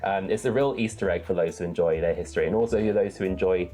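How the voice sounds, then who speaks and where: A man's voice: low voice, fast, mellow, faint music behind. A man hosting an enthusiastic book talk, articulate and wordy, with emphatic phrasing. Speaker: book reviewer and readalong host.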